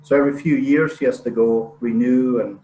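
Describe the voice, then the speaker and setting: A man's voice: medium pitch, steady tone, fairly quick, warm tone, a little hiss or hum, low-quality recording. A middle-aged man from Scotland with a Scottish accent, a podcast guest talking about strongman competitions in a modest, matter-of-fact way. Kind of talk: casual chat.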